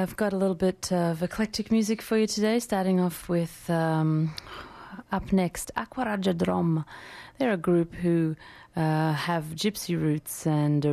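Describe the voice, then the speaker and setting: A woman hosting a radio music show, introducing tracks in a casual, halting, unscripted way. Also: low-pitched, vocal fry, warm tone, dry recording. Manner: halting, informal, pausing mid-sentence. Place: radio studio. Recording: dry radio studio, music behind.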